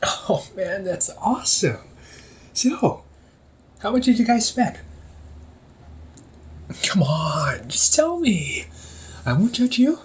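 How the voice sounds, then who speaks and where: A man's voice: sits high, big pitch swings, slow, long pauses, heavily acted. A man acting out a chatty, teasing young older brother who runs a hobby shop, talking one-sided to the listener in an audio roleplay and laughing often.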